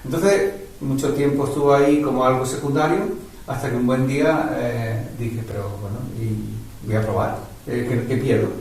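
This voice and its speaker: A man's voice: medium pitch, fairly even intonation, normal speed, darkish tone, slight background noise. A man interviewing a guest, chatting casually and affirming with enthusiasm. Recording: distant mic with heavy echo.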